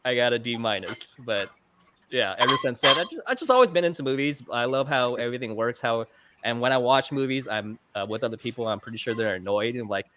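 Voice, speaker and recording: A man's voice: medium pitch, lively intonation, brisk, background noise. A man guesting on a livestream interview, talking casually about his filming work with lots of fillers and self-deprecating jokes. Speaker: guest, fish channel videographer. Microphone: video call mic.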